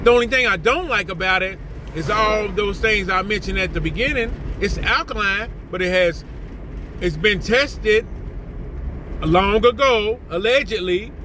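A man's voice: unhurried, a little hiss or hum. An older man, ranting animatedly into a phone camera from his parked car and repeating his points. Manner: animated, indignant, repeats himself, jumps up in pitch when worked up. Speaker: vlogger. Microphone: phone camera at arm's length.

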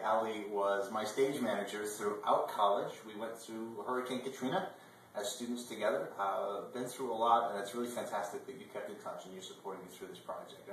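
A man in his twenties co-hosting a video blog, talking casually in a measured, earnest way. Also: lowish voice. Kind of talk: casual talk to camera. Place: indoor dining room at a table. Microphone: far camera microphone. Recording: echoey room, distant mic, faint noise.

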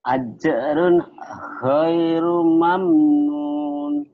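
Slow Javanese-accented student on a tinny line. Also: male voice, on the high side, fairly even intonation, slight background noise. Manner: hesitant, repeats syllables after teacher. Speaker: adult student. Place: home, on a video call. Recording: tinny video call audio.

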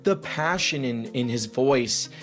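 A man in his thirties hosting a vlog, explaining a device in an upbeat, lightly joking way, recorded in a small studio room on a boom-arm mic. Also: slow pace, a bit bright, faint music behind. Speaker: vlog host. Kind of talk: explainer narration.

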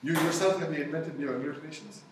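A middle-aged man whose native tongue is Tamil lectures in a relaxed, chatty way, peppering his points with "you know" and "okay?". His voice sits a bit high. He is captured from a distance in a booming, echoey lecture room with faint noise. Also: fast talker. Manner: conversational, many "you know" and "okay?" check-ins. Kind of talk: university lecture with audience discussion. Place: lecture hall with blackboard and projector. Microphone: far room camera. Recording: echoey lecture hall, picked up from afar.

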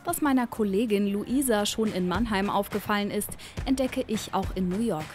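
A woman's voice: mid-range voice, normal speed, a little mellow. A young woman reporter narrating a travel TV segment in a light, chatty way. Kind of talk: scripted TV voice-over narration. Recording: voice-over with clear background music.

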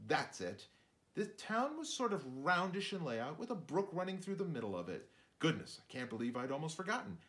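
A man's voice: mid-range voice, fairly bright tone. A man voicing a chatty, fussy shrew who narrates a storybook, addressing the listener directly in a digressive, conversational way. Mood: flustered, forgetful. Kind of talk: storybook narration, read aloud.

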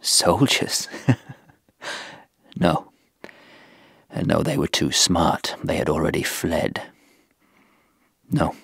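An actor playing a haunted, guilt-stricken crusader, recounting in a halting, anguished way in a historical audio drama. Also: male voice, sits low, very animated, vocal fry, fairly bright tone, slightly rough, no room sound, clean studio sound, exaggerated voice. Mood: hushed horror. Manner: halting, repeating words, anguished.

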